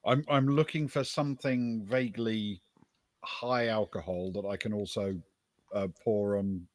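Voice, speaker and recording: A man's voice: fairly low pitch, a little slow, no room sound. A man playing in a tabletop game, talking casually and with some hesitation.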